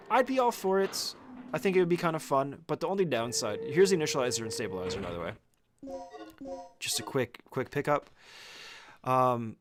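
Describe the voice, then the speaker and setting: Man, medium pitch, casual tutorial voice-over, conversational, explanatory, informal gamer commentary. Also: a little hiss or hum. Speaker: speedrunner giving a tutorial.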